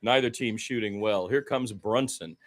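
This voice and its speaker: Excitable man calling live basketball. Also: normal speed. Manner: excitable, swinging from low asides to loud calls; informal, opinionated, mildly profane. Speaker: basketball play-by-play commentator. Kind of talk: live radio play-by-play commentary.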